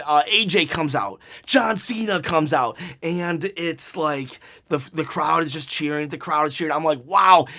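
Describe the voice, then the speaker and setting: A man hosting a wrestling channel, giving an unedited review right after a show, excitable and rambling, his voice going hoarse. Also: a little high. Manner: excitable, rambling, repeats himself.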